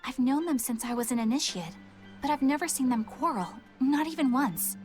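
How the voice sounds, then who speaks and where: A woman's voice: sits high, moderate pace, darkish tone, soft background music, close to an everyday voice. An actress playing a prim, sincere young woman exorcist in a video game, polite and formal. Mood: fond, gentle.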